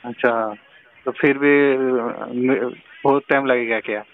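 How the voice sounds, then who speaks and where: Phone-line Indian man, smooth hesitant voice. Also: medium pitch, steady tone, slow pace. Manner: hesitant, persistent questioning. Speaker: caller. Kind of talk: informal phone enquiry. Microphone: phone call recording. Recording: tinny narrow phone line.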